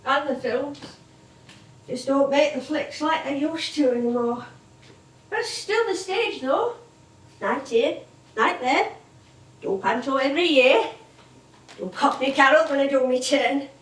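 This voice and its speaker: An actress playing an older English music-hall comedienne on stage, wistful and chatty, reminiscing in a rambling way. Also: female voice, high voice, a little slow, long pauses. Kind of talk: theatrical stage monologue and dialogue. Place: theatre stage. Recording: echoey stage, distant microphone.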